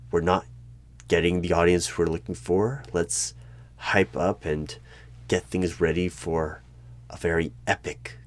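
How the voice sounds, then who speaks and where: A man playing a creepy, skeptical hiring manager in a one-sided job interview roleplay, speaking in a deliberate, probing way. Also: low voice. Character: creepy, skeptical male hiring manager of a mysterious secret organization. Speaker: roleplay performer.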